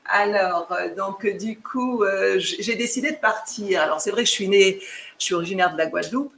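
A woman's voice: medium pitch, moderate pace, vocal fry, fairly bright tone, a little hiss or hum. A woman from France chatting on a live stream with her viewers, talking hesitantly with many fillers and restarts.

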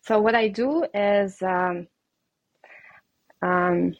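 A woman with a noticeable Russian accent answers interview questions, pausing often with ums and small laughs. Her voice sits at an ordinary pitch for a woman. The recording is close and dry with no room sound. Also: no room sound. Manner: hesitant, many ums, laughs lightly. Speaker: guest coach.

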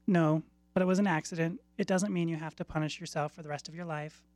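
A woman reading the part of a calm, compassionate female spirit of death in a short story, consoling and gentle. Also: low-pitched, fast talker, dry recording, lightly acted.

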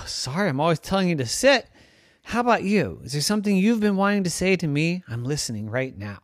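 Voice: mid-range voice, sing-song, a little fast, dry recording, clean studio sound, pitched above the performer's own voice, lightly acted. Someone doing a bewildered apologetic pet owner.

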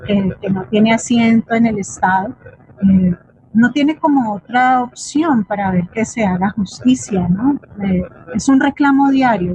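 A woman's voice: mid-range voice, a little mellow, slightly rough, no room sound. A woman with a Colombian accent speaking reflectively as a guest at a forum, thoughtful and hesitant, often checking in with short tag questions. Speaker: guest playwright and drama teacher. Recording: muffled lo-fi audio.

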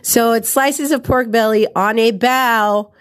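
A woman's voice: a little high, a little slow. Woman co-host, from san francisco, getting over flu, opinionated food reviews. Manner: opinionated, animated storytelling. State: recovering from flu. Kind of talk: casual food review chat.

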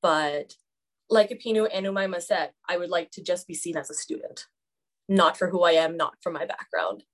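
A woman's voice: on the low side, animated, moderate pace. A young woman talking as a student guest in a casual podcast roundtable, speaking candidly with a lot of "like" fillers. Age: young adult. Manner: candid, chatty, many "like" fillers.

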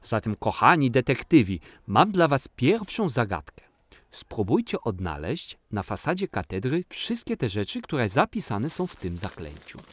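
A man's voice: medium pitch, wildly swooping pitch, normal speed, dry recording. Friendly male guide for a children's audio play, instructive, speaks straight to young listeners. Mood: inviting. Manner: didactic, addressing children directly. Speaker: storyteller guide.

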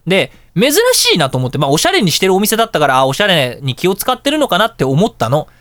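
A man hosting a casual radio talk show, telling anecdotes in an animated way and acting out dialogue and reactions. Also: high-pitched, quick pace, no room sound.

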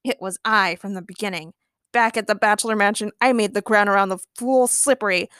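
A woman's voice: medium pitch, fairly quick, fairly bright tone, clear, resonant tone, no room sound, studio quality, natural voice. A woman voicing a whiny, nerdy male villain who proclaims his schemes melodramatically in a story. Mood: boastful, threatening. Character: nerdy villain Nur, whiny megalomaniac man.

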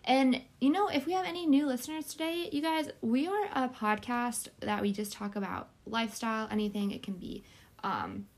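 A woman's voice: a little high, moderate pace. A woman co-hosting a podcast in casual, conversational chat, a little tired. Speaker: podcast co-host. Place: bedroom.

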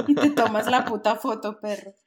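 A young woman with a Colombian accent, co-hosting a podcast and chatting casually. Her voice sits a little high, and she teases and jokes with easy, fond swearing. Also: unhurried, crowd noise. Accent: Colombian Spanish. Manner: teasing, joking interjections, swears fondly. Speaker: podcast co-host. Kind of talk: casual chat.

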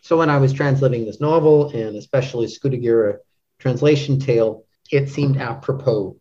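Man, middle-aged, mid-pitched voice, halting casual conversation, thinking aloud, repeated words, muffled lo-fi audio. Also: mellow. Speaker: podcast guest, literary translator. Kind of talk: casual interview chat. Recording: dull, lo-fi audio.

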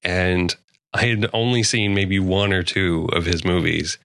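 Deep-voiced male podcast host. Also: a little slow, no room sound, clean studio sound. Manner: relaxed, self-deprecating, laughs often. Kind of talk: casual chat.

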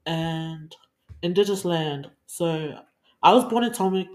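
A woman's voice: low voice, unhurried, a little mellow. A 21-year-old woman, a friendly, casual podcast guest. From South Auckland, New Zealand. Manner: friendly, casual, laughs easily.

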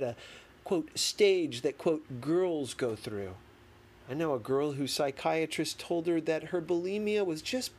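A man reading a memoir as an audiobook, in a deliberate, emphatic manner with dramatic pauses. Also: fairly high pitch. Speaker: audiobook reader. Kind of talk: expressive scripted narration of a memoir. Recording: clearly noisy background.

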